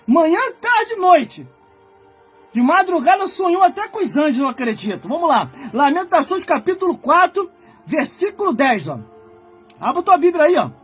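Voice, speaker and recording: A man in his forties from Brazil, a pastor preaching a fiery sermon that swings from quiet muttered asides to loud shouted warnings. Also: extremely high pitch. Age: 40s. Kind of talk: fiery Pentecostal-style sermon.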